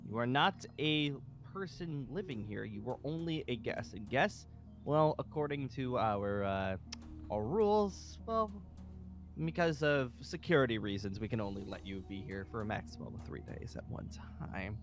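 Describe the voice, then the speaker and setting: A man playing a pompous, commanding colonel of a survivor base in an improvised Minecraft zombie roleplay, with halting, theatrical delivery. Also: mid-range voice, animated, over music, natural voice. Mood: stern, commanding.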